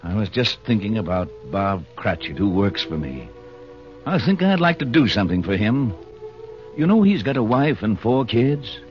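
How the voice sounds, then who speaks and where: A man's voice: fairly low pitch, sing-song, normal speed, faint music behind. An actor playing a grumpy but sentimental old man who grumbles in slangy city tough talk, in 1940s radio style. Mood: fond, sentimental. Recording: dull old radio recording.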